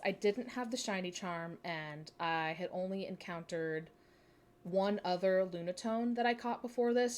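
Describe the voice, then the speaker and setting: A woman's voice: medium pitch, a little mellow. Giggly Midwestern woman streamer. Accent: Midwestern American. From Minnesota, USA. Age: 20s. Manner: chatty, giggly, self-deprecating. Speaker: game streamer. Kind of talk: casual live-stream chat while playing.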